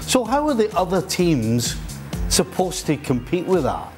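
A man's voice: a little high, big pitch swings, a little slow, over music. A man with a Scottish accent arguing emphatically as a football pundit in a casual panel debate. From Scotland.

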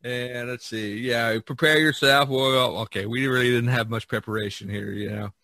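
A man's voice: medium pitch, steady tone, normal speed, bright, forceful tone, no echo. A middle-aged man hosting a podcast, chatting casually in a rambling, jokey way over an online call. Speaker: podcast host. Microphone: online video call.

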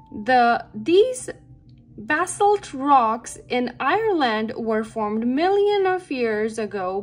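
High-pitched woman reading slowly to kids. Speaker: read-aloud reader for children. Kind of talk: slow read-aloud of a children's science book.

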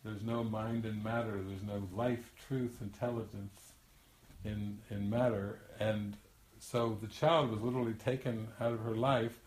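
A man's voice: lowish voice, level tone, a little slow. An older man, a spiritual teacher, telling a long anecdote in a rambling, chatty way with frequent restarts and laughter. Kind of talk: storytelling teaching talk. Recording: echoey room.

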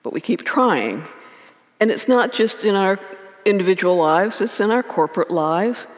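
A woman in her sixties, a priest giving a calm, measured sermon at a church pulpit microphone, in a lightly voice. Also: medium pitch. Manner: calm, measured, gentle repetition. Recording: long church reverb.

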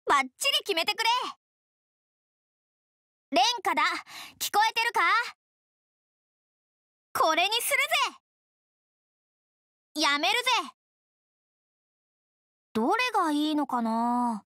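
A woman voicing a brash, tomboyish young game character, hearty but easily flustered, who talks in rough, blunt slang. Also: high voice, sing-song, takes it slowly, pauses often, sharp tone, no room sound, studio quality, full character voice. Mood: casual. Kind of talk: video game character voice lines.

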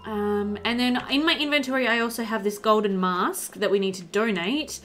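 A woman's voice: medium pitch, moderate pace, a bit bright, soft background music. A young woman giving casual, chatty gameplay commentary into a headset, thinking aloud as she goes. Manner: chatty, thinks aloud, talks to game animals. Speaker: let's-play host.

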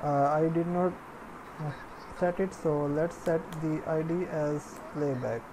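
A woman with a fairly low voice presents a programming screencast at a very slow pace. She speaks in short, halting pieces, walking through each step. Faint noise sits behind her. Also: fairly even intonation. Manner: halting, piecemeal phrasing while typing. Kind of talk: step-by-step screencast narration of coding.

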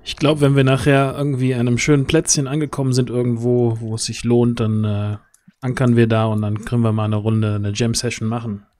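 A man's voice: mid-range voice, flat delivery, fairly quick, a little mellow. A man chatting casually in character as a player in an improvised roleplaying game, on a video call. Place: home room, online video call.

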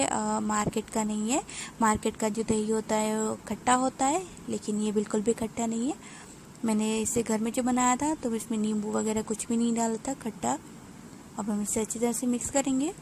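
A woman with a fairly high voice talks through a home recipe one step at a time. She explains each step plainly and patiently and often pauses with small hesitations. A faint hum of room sound runs under her voice-over. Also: darkish tone. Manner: unhurried, explanatory, frequent hesitations. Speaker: home cook.

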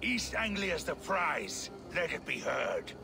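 Gravelly, menacing Viking raider king. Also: on the high side, sing-song, slow, over music, exaggerated voice. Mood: challenging. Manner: boastful, theatrical. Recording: noisy game scene ambience.

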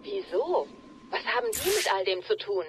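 A woman voicing a sharp, caring tech support ally speaking over a radio link in a game dub. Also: high voice, wildly swooping pitch, moderate pace, slight background noise. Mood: concerned.

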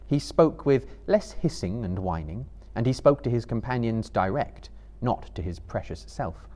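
A man in his thirties giving a measured, theatrical literary narration as a live dramatic reader. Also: medium pitch, sing-song, darkish tone. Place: seated indoors on a book-lined stage before a live audience.

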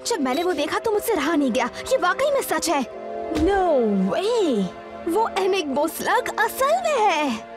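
A spirited teenage girl adventurer, very high-pitched and animated. She sounds confident and keen, a cartoon heroine with music behind her. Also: measured pace, exaggerated voice. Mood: excited. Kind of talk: Hindi cartoon dubbing.

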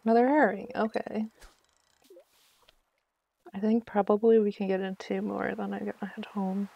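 A woman's voice: mid-range voice, level tone, normal speed, creaky, lo-fi sound. A woman giving casual, chatty commentary on a live game stream, talking to herself with mock exasperation.

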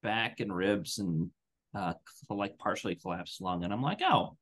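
A man's voice: lowish voice, normal speed, fairly bright tone. A man telling casual anecdotes as a podcast co-host, acting out the quoted dialogue. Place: indoor room on a video call.

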